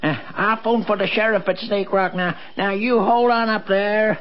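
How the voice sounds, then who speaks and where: A man's voice: high voice, steady tone, moderate pace, lo-fi sound, lightly acted. An actor as a folksy old countryman, noisy recording. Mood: startled concern. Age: older. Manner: folksy, rambling, muttering to himself. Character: folksy old country man, grumbling but kindly dog owner. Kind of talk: old-time radio drama acting.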